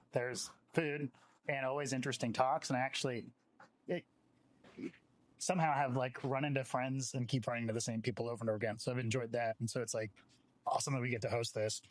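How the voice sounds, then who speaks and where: A man giving an informal welcome speech as a company representative, conversational and peppered with "like". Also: medium pitch. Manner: conversational, frequent filler "like".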